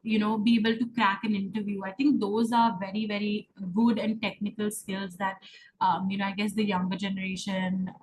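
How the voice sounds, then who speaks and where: A woman's voice: medium pitch, level tone, normal speed, noisy background. A woman interviewing a guest, affirming her points and using many fillers. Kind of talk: conversational video interview.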